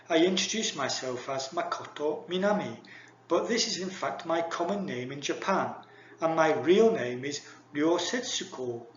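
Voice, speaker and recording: A man giving a measured, formal university lecture read from a script. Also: fairly high pitch, slight background noise. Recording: echoey dull lo-fi recording.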